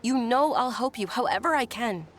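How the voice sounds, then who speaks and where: A woman's voice: fairly high pitch, lively intonation, normal speed, a bit bright, a little hiss or hum. A woman playing a sweet, insistent young noblewoman in an anime game, speaking politely and earnestly, with a pleading edge. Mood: concerned.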